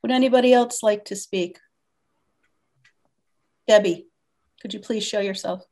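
An older woman runs a council hearing over a laptop webcam from her dining room. She speaks in a brisk, procedural way at a middling pitch and sets out her answers point by point. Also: dark tone. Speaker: town council chair running a public hearing. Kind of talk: meeting procedure on a video call. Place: home dining room indoors. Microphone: laptop webcam on a video call.

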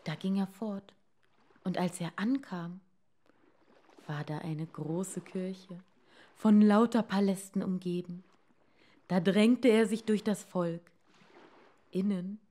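Slow-paced woman reading a bedtime tale. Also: mid-range voice, mellow. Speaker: storyteller. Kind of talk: bedtime fairy-tale narration.

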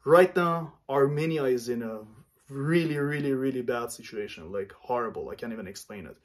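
A man in his thirties from Iran, speaking earnestly and haltingly to camera in a small home room, often stopping to search for words. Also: mid-range voice, animated. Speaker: vlogger.

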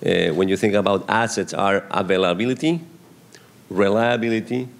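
Man, Colombian accent, lowish voice, handheld mic, conference hall panel, measured explanations. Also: gravelly. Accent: Colombian Spanish. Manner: measured, explanatory, restarts phrases. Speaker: venture investor panelist. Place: large conference hall stage. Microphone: handheld microphone.

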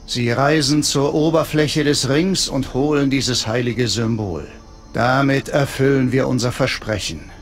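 A man voicing an imperious, pompous alien high priest in a video game, solemn and authoritative. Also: unhurried, soft background music, natural voice. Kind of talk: cinematic video game acting.

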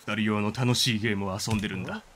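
Guy doing a smug boastful storybook game creature, pitched down, self-important and measured, music behind. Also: fairly even intonation, noisy background, natural voice. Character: smug, boastful young male storybook creature playing alone.

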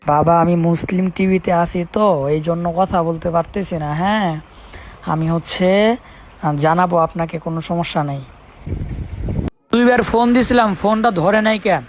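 A man's voice: high-pitched, moderate pace, pauses often, slight background noise. A man with a Bangladeshi accent talking casually in an insistent, persuading way, often repeating his appeals. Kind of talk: casual conversation.

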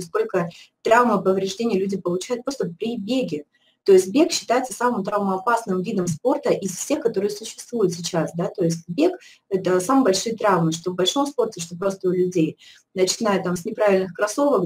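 A woman's voice: medium pitch, a little mellow, a little hiss or hum, rough recording. A middle-aged woman giving a persuasive webinar presentation from an office over a webcam, with many fillers and restarts. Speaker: product presenter. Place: indoor office, video call.

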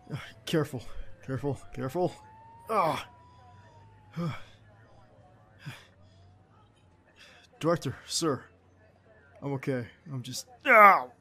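A man's voice: a little high, sharp tone, breathy edge, heavily acted. A man playing a young male field agent, a doting, stubborn, playful boyfriend, in an ASMR roleplay audio drama. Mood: pained, strained. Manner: affectionate, teasing, protesting.

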